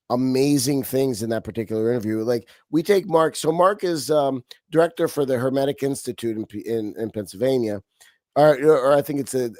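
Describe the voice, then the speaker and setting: Animated man from Romania, dry sound. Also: medium pitch, no room sound. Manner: animated, frequent restarts and fillers. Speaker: podcast guest. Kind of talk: casual interview talk.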